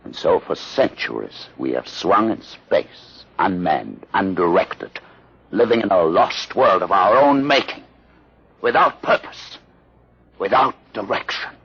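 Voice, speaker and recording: An actor playing a grave, learned leader of outcasts in a solemn, measured 1950s radio drama style. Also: male voice, sits low, animated, gravelly, fairly bright tone, slightly rough, exaggerated voice. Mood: grave, bleak. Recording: dull lo-fi old radio recording.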